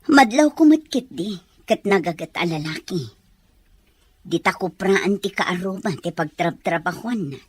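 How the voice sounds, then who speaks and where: A woman playing an inquisitive, advising mother with a husky voice and a Filipino accent in an audio drama. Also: on the low side, animated, unhurried, dry recording. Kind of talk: Ilocano audio drama acting.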